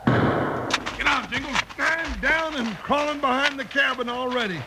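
An actor playing a big, excitable, folksy deputy sidekick, eager to fight, in an old-time radio western. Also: male voice, very high voice, a little slow. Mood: excited. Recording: noisy old radio recording with clicks.

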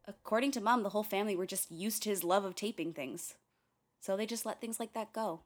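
A woman's voice: mid-range voice, fairly quick, lightly acted. A woman playing a candid, wry, uneasy young filmmaker who narrates a radio play in a confiding way, with tangents and swearing. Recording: music behind the voice.

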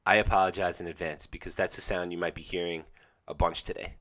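Male podcast host, deep voice, congested and drowsy, enthusiastic ad read with lots of ums. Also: flat delivery, fast, fairly bright tone. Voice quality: slightly nasal. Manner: rambling and enthusiastic, many fillers and restarts. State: congested from a cold, sleepy morning voice.

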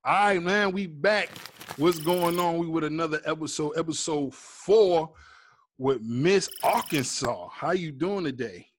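A man's voice: fairly high pitch, measured pace, very noisy. A man hosting a casual comedy interview, bantering in playful, slangy talk. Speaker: host, comedian.

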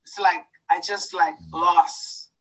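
A woman from Guyana giving a halting, emotional testimony to her church. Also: fairly low pitch, fairly even intonation, a bit bright, breathy edge, recorded from a distance, poor audio. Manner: hesitant, halting, with fillers.

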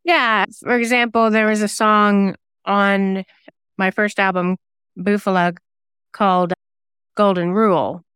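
A woman's voice: medium pitch, fairly even intonation, slow pace, a bit bright, clear, resonant tone, no room sound. A woman giving conversational interview answers in a chatty, self-deprecating way. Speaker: guest singer-songwriter and novelist.

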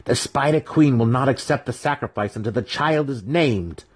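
A man voicing a zealous, commanding female dark elf priestess with imperious, ritual delivery in a fantasy audiobook. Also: mid-range voice, animated, normal speed, husky, voice pushed up. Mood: commanding, urgent. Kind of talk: dramatic fantasy audiobook reading.

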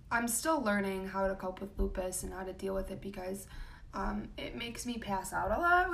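A young woman with a raspy, low voice, talking casually about her life with many ums and likes. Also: slight background noise. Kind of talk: personal vlog talk. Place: home room.

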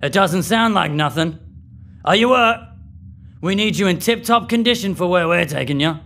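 Guard, audio drama: gravelly, slightly high voice, matter-of-fact and probing. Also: male voice, lively intonation, normal speed, a bit bright, slight background noise, full character voice. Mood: probing, concerned. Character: matter-of-fact male guard minding a captive.

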